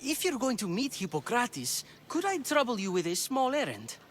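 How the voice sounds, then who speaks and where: A man's voice: very high voice, huge pitch swings, normal speed, fairly bright tone, slight background noise, heavily acted. A man playing an anxious, earnest assistant to an ancient Greek physician in a video game. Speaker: game character. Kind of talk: video game cutscene acting.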